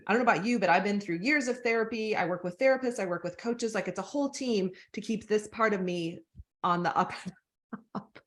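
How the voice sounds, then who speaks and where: A woman's voice: mid-range voice, fairly quick. An American woman hosts a podcast interview in a loose, conversational way. She rambles a little, restarting phrases and leaning on fillers as she gathers her thoughts. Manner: rambling, warm-hearted, many fillers and restarts. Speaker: podcast host. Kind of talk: casual interview chat.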